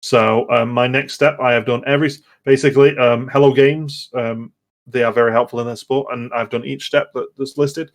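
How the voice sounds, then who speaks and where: A man's voice: medium pitch, level tone, fairly quick. Man chatting casually, rambling and hesitant. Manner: rambling, hesitant, repeats words. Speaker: podcast host. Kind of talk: casual gaming podcast chat.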